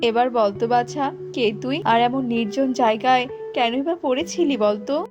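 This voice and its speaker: A wise, motherly holy woman acted by a woman gives guidance in a fairly high voice. Her delivery is instructive, in cartoon storybook style. Music is clearly audible underneath. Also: moderate pace, highs cut off, close to an everyday voice. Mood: wise counsel. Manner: instructive, addressing listener as child. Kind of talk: children's cartoon fairy-tale acting.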